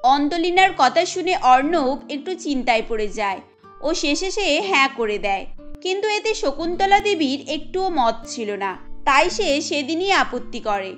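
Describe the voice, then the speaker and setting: Woman storyteller, high voice, narrating a cartoon tale, soft music behind. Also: big pitch swings, measured pace.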